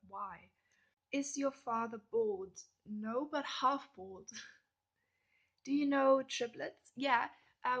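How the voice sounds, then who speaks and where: A young woman from Germany with a German accent, chatting casually through a list of questions and often dropping into soft whispered asides. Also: medium pitch, creaky, slightly rough, dull audio. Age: young adult. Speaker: vlogger. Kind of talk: casual question-and-answer tag video.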